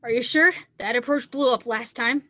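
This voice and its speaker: A woman's voice: a little high, normal speed, sharp tone, no room sound. A woman playing a teasing, devoted young semi-pro snowboarder in a screenplay table read. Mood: vulnerable.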